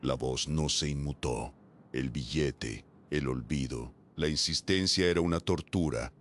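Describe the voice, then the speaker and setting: A man reading a first-person horror story as scripted narration, with slow, dramatic pauses. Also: a bass voice, fairly bright tone.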